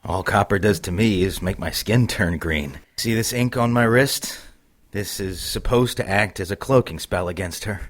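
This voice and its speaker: Supernatural audio drama: eerie male occultist played by a man, low register, measured and menacing. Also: lightly acted. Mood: grim, ominous.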